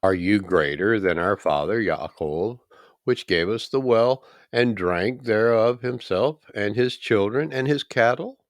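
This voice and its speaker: An earnest village woman of scripture, read by a man in his own low register. The questions come slowly and respectfully, with emphatic stress, in a dry narration. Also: no room sound. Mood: earnest, questioning.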